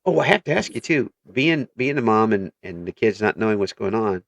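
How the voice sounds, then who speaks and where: A man hosting a casual interview, chatty and quick to laugh, often trailing off and restarting his sentences. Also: lowish voice, lively intonation, vocal fry, no room sound. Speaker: interview host.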